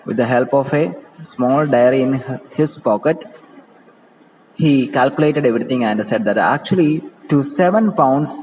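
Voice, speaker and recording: A man's voice: mid-range voice, darkish tone. A man with an Indian English accent, teaching by reading a play aloud and explaining it point by point, often repeating and rephrasing. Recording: echoey reverberant room with background noise.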